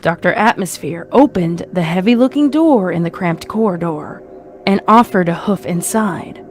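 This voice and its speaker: A woman's voice: lowish voice, moderate pace, a little hiss or hum. A woman narrating a scripted horror story as a storyteller.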